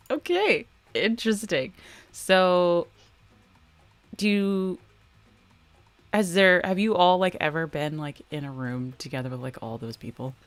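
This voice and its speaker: A woman's voice: lowish voice, takes it slowly, pauses often, no echo. A woman hosting a casual livestream interview, reacting to her guest with encouraging prompts.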